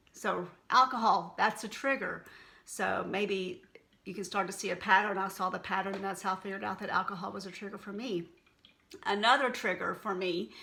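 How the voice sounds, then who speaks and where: A woman's voice: mid-range voice, vocal fry, bright, forceful tone. A middle-aged woman with a Texan accent, talking candidly and casually to camera as a wellness blogger. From Texas. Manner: chatty, candid and folksy. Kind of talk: unscripted casual monologue to camera.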